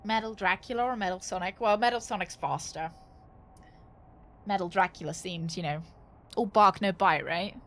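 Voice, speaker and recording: A woman's voice: medium pitch, normal speed, pauses often, fairly bright tone. A woman chatting casually as she streams a game, thinking aloud with wry, joking asides. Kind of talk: casual live gaming commentary.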